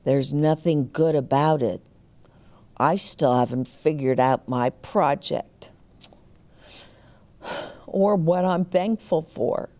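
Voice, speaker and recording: Lady doing a worried little school kid from a storybook, boy, kinda halting, goes slow. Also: low-pitched, dark tone, pitched below the performer's own voice. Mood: glum. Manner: halting, unsure.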